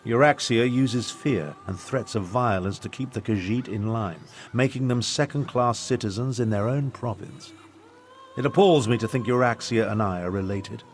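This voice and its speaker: An eloquent royal half-brother played by a male actor speaks in a medium-pitched voice over faint music. He is formal and theatrical, carefully articulate, with the indignation of a man exposing tyranny in a fantasy video game. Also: close to an everyday voice. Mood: grave, appalled. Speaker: guide. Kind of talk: scripted video game dialogue.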